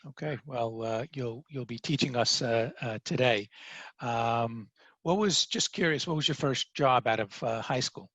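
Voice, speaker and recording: A man's voice: medium pitch, a little slow, a bit bright, no echo. A man with a Brooklyn accent hosting an interview, reading an introduction with many fillers. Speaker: host. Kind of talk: interview host.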